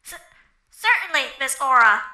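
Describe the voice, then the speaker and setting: Tiny servant robot; extremely high, very sharp, deferential game character. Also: female voice, sing-song, moderate pace, exaggerated voice. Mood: apologetic. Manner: polite, deferential. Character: obedient, polite little robot servant. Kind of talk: video game fandub acting.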